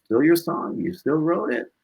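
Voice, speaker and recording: A man with a husky voice, a musician being interviewed, talking casually and reflectively and repeating points for emphasis. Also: a little high, huge pitch swings, moderate pace, a little mellow, low-quality recording.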